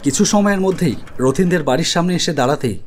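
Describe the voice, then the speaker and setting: An actor playing an anxious idol-maker who recounts a horror story in a dramatic, expressive first-person narration. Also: male voice, a little high, fast talker, background noise, natural voice. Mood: narrating, alarmed. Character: anxious male idol-maker recounting his ghostly encounter. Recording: studio voice over music bed.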